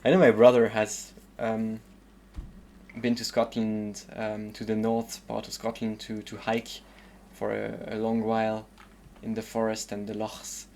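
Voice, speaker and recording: A man's voice: lowish voice, monotone, a little slow, gravelly, a little mellow, a little hiss or hum. A man from the southern suburbs of Paris with a French accent, casually commenting while playing a game and thinking aloud with lots of hesitant fillers. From southern suburbs of Paris, France. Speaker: streamer.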